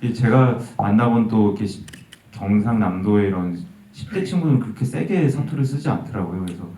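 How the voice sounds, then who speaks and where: A man's voice: on the low side, lively intonation, brisk, mellow. A man from Busan with a Busan accent, chatting and joking about himself at a live audience Q&A. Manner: chatty and self-joking, laughs often. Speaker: film director at an audience talk. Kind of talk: casual talk at a live Q&A. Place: event hall. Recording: distant, roomy hall sound with clear noise.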